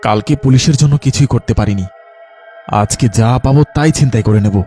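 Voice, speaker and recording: A man's voice: mid-range voice, brisk, warm tone, faint music behind, lightly acted. A man playing a broke, hungry, brooding street mugger in a cartoon story. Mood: menacing. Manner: grumbling, brooding. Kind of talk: Bengali cartoon voice acting.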